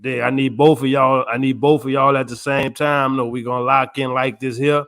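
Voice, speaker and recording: A man's voice: medium pitch, flat delivery, normal speed, fairly bright tone, clear, resonant tone. A man co-hosting a podcast, chatting casually in a laid-back, slangy way. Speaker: podcast co-host. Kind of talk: casual chat.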